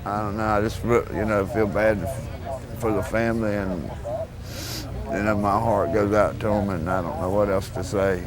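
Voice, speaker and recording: A distraught man speaking haltingly and plainly in a local news street interview. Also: lowish voice, fairly even intonation. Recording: outdoor news interview, crowd noise.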